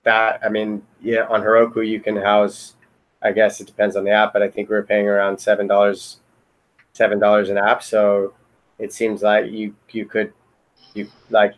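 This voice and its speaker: A man's voice: lowish voice, monotone, moderate pace, low-quality recording. A man chatting casually in a remote meeting, talking in a hesitant, rambling, hedging way. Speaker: software team member in a retrospective meeting.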